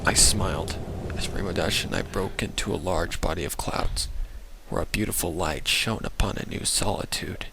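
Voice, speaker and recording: Deep-voiced man narrating a dark story. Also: moderate pace, creaky, background noise. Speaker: fanfiction reader. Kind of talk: dramatic scripted narration. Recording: roomy sound with loud music. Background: loud background music.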